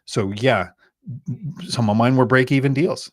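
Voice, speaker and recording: A man's voice: medium pitch, measured pace. A man from Thailand, a guest investor, giving blunt, emphatic advice in a casual podcast chat. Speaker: guest real estate investor.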